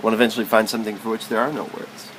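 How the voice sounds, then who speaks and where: A man's voice: lowish voice, flat delivery, rapid-fire, fairly bright tone, slight background noise, rough recording. A man reading literary prose aloud as spoken word, in a musing, philosophical manner.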